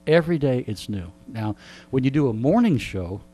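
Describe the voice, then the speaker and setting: A man's voice: mid-range voice, big pitch swings, moderate pace, warm tone. A middle-aged man, a veteran radio host, chatting and telling stories in a rambling, dryly joking way as he is interviewed. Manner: joking, rambling, dry humour. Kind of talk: casual storytelling interview chat.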